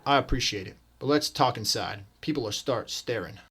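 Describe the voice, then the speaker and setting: A man playing an earnest, modest, principled detective and mentor in a radio drama, measured and serious. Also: mid-range voice, a bit bright, slightly rough.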